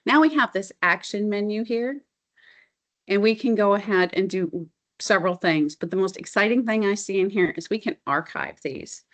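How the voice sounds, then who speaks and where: A middle-aged woman presenting a software demo as a consultant, explaining features in an informal, enthusiastic, conversational way. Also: mid-range voice, normal speed.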